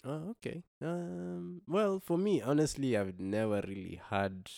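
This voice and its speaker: A young man from Kenya, a musician guest on a podcast, talking thoughtfully with frequent pauses and restarts. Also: on the high side, vocal fry, darkish tone, dry recording, studio quality. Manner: thoughtful, hesitant with many restarts. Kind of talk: reflective podcast conversation.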